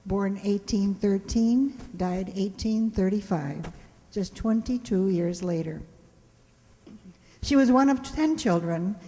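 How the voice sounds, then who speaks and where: A woman's voice: mid-range voice, warm tone. A woman presenter giving a prepared introduction to a stage performance. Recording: reverberant hall.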